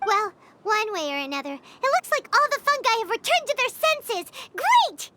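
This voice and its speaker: A woman voicing a small excitable female companion sprite in a video game cutscene, chirpy and eager. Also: very high-pitched, moderate pace, background noise. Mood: excited. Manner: chirpy, eager, cheering on allies.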